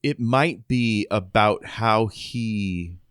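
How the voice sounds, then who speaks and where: Deep-voiced man chatting about books. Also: very slow, fairly bright tone, clear, resonant tone, no echo, clean studio sound. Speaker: podcast co-host. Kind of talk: casual book discussion with chapter recaps.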